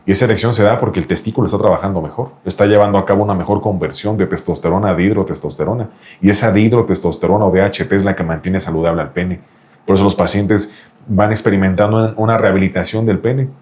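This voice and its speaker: A man's voice: sits low, steady tone, fast talker, darkish tone. A man, a doctor specializing in reproductive andrology, explains things insistently to a patient and often repeats the patient's name. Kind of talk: explanatory interview talk with a patient.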